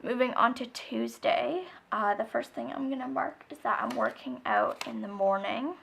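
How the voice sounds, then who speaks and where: A slightly hoarse young woman chatting casually while she decorates her planner, rambling softly and often dropping to a near whisper. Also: on the high side, expressive, normal speed, a little hiss or hum. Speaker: planner vlogger.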